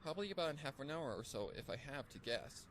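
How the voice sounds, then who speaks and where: Man voicing a practical mare guide, slightly raised pitch. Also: expressive, quick pace, slight background noise, close to an everyday voice. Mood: matter-of-fact. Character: practical young adult female pony guide. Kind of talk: storybook character dialogue.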